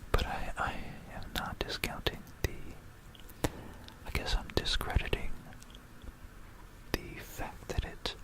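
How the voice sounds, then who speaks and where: An ASMR creator whispering about history in a hushed, rambling, musing way, close into a stereo microphone. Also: takes it slowly, long pauses, low-quality recording. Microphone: close stereo binaural microphone.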